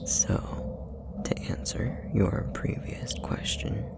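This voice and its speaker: A man voicing a possessive, sadistic demon in an ASMR roleplay, whispering slowly with a breathy creak and taunting, mock-affectionate menace.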